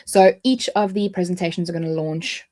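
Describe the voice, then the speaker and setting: A woman's voice: fairly low pitch, steady tone, a little fast, warm tone. A woman with a South African accent hosting a casual livestream, chatty and jumping between points with frequent restarts. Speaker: livestream host.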